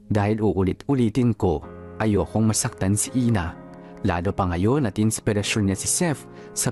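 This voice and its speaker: A man's voice: fairly low pitch, darkish tone. A man giving scripted first-person narration for a radio drama, confiding and reflective in manner. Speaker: narrator reading a letter sender's story. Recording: music behind the voice. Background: music.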